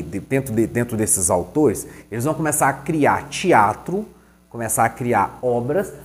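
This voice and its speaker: A man's voice: medium pitch, a little mellow. Man teaching, asking and answering himself. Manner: conversational, asks and answers his own questions. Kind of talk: recorded online lesson.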